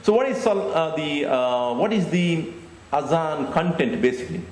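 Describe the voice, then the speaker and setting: A middle-aged man giving a deliberate, explanatory Islamic lecture into a handheld microphone, often repeating phrases. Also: on the high side, animated, a little mellow, a little hiss or hum. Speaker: Islamic preacher. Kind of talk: religious lecture. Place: indoor stage with curtain backdrop. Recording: echoey room.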